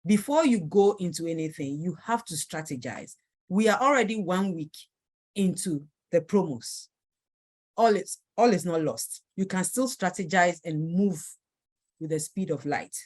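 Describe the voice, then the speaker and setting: A woman's voice: on the low side, measured pace, darkish tone. A woman with a Nigerian accent giving a motivational sales training talk, stressing and repeating her key points. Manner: emphatic, repeats key phrases. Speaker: network marketing team leader.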